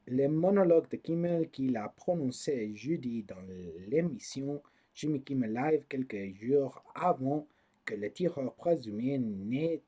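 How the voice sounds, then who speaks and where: A man with a non-native French accent reads news slowly, stopping often to restart or mock the story. His voice is relaxed and a little husky. He fills the pauses with hesitations and short laughs. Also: medium pitch. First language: not French. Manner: laughs often, swears mockingly at figures in the news; halting, restarts with many fillers. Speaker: commentator. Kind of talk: reading news aloud with commentary.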